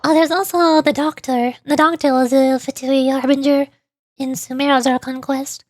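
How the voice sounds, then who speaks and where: Bubbly high-voiced woman streamer. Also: fairly even intonation, normal speed, a little mellow, no room sound, clean studio sound. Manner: bubbly, chatty, playful. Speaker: VTuber streamer. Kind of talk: casual live-stream gameplay chat. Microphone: desk microphone.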